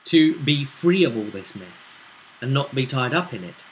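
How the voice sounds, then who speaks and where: A man's voice: medium pitch, big pitch swings, moderate pace, slight background noise, low-quality recording. A man hosting as game master, chatting casually as he recaps a tabletop roleplay campaign. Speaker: game master and show host.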